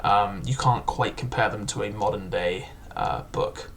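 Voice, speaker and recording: A man's voice: fairly low pitch, unhurried, a bit bright. A young man casually reviewing a book, chatty and loose, with frequent filler words and restarts.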